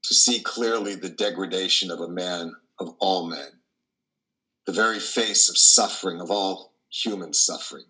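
An older man, in his sixties or beyond, playing a troubled, compassionate priest in a deliberate, theatrical, musing monologue. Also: low voice, sharp tone, poor audio. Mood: philosophical, troubled. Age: 60s or older. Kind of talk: dramatic monologue in play reading. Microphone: video call, close to camera.

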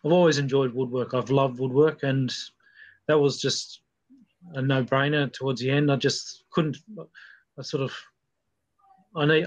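Australian man, middle-aged, understated, pauses mid-thought, average pitch, lo-fi video call. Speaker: podcast guest. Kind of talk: casual personal recollection. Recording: dull video-call audio.

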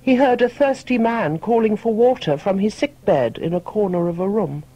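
A man's voice: sits high, moderate pace, mellow, muffled recording. A man reading a short fable as a storyteller, in scripted audiobook narration.

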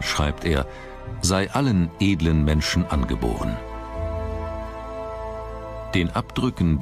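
A man's voice: rumbling low, gravelly. A man reading scripted documentary narration as the narrator. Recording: music under the voice. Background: background music.

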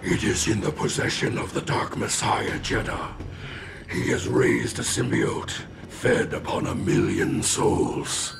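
Super deep growly demon spirit from a game, solemn and slow, echoey. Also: male voice, big pitch swings, gravelly, far from the mic, background noise, exaggerated voice. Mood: grave, ominous. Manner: solemn, portentous. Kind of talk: video game cutscene acting.

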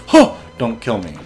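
A man's voice: mid-range voice, a little mellow. A young man hosting a let's play, chatting casually and swearing with frustrated outbursts. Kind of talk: casual gameplay commentary.

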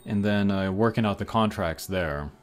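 A man's voice: on the low side, normal speed. A man hosting a gaming channel, giving casual, hesitant commentary as he thinks aloud over mobile game pulls. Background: game music.

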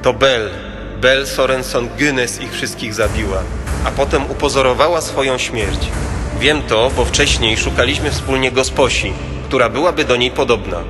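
An actor playing a dying farmhand making a grave confession in a radio drama. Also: male voice, medium pitch, normal speed, over music, close to an everyday voice. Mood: grave, confessing. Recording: long hall reverb.

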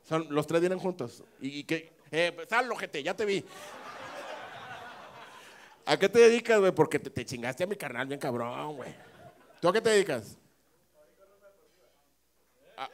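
Man, mexican spanish accent, high voice, stand-up comic, teasing crowd, crude jokes, handheld mic. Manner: brash, teasing, swearing, loud bursts of shouting. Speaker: stand-up comedian working the crowd. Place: small comedy club stage with live audience.

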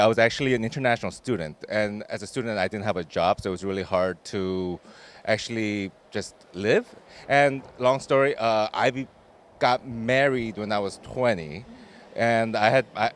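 A young man speaking openly about his past in a street interview. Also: fairly low pitch. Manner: open, personal storytelling. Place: city street outdoors. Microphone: handheld mic.

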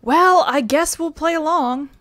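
A woman's voice: sits high, measured pace, a bit bright. A woman doing casual let's-play commentary, rambling and self-deprecating, on a close microphone. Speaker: video game streamer.

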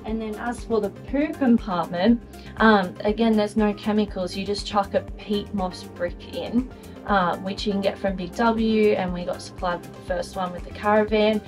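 A woman's voice: medium pitch, fairly even intonation, moderate pace. Young aussie woman giving a caravan tour, chatty and upbeat, lots of ums, faint music under it. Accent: Australian. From Australia. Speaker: vlogger showing a caravan. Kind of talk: casual walk-through chat.

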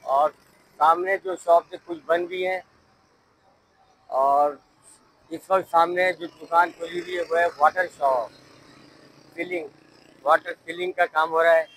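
A man's voice: a little high, steady tone, measured pace, pauses often, fairly bright tone, rough recording. A middle-aged man, chatting casually as he drives and points out the sights, recorded inside a car. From Pakistan. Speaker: vlogger. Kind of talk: casual driving tour narration. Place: inside a car on city streets. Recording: car and street noise behind.

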